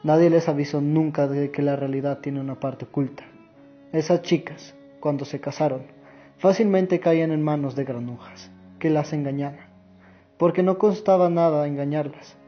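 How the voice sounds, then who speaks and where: A man's voice: a little high, steady tone, normal speed, natural voice. A man playing an earnest, sorrowful old war veteran and grandfather in a radio drama monologue. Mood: earnest, reflective. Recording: muffled audio with music underneath.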